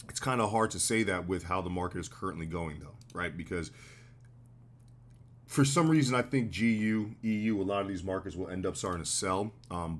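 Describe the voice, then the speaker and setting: American guy, deep voice, kinda hoarse from a cold, laid back trading talk. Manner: relaxed, loose, slangy explaining with fillers. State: slightly hoarse, getting sick. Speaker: trading livestream host. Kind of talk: casual live market commentary.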